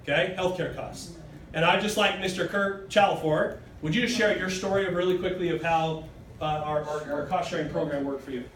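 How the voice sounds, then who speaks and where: Thirties male presenter with a high, punchy delivery, recorded at a distance in a hotel meeting room with faint hiss. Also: fairly even intonation, normal speed, a bit bright. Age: 30s. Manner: emphatic, keeps asking "okay?" and "true?", gestures broadly while standing. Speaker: sales presenter. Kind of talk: motivational sales pitch. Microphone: far phone camera in the audience. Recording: roomy, distant phone recording.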